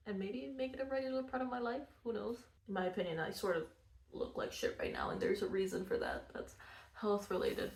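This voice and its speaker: Young woman, soft-spoken, rambling, candid, vocal fry, reverberant room. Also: mid-range voice. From Venezuela. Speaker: vlogger, cartoonist. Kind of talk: casual personal vlog. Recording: echoey room.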